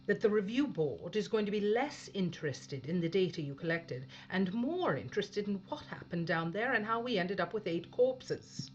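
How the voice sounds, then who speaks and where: Spaceship captain played by a woman: stern, probing, dryly sarcastic, expressive pitch, science fiction audio drama, lo-fi sound. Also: moderate pace, hardly any pauses, lightly acted. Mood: pointed, probing. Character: hard-nosed, suspicious female spaceship captain interrogating a crewman.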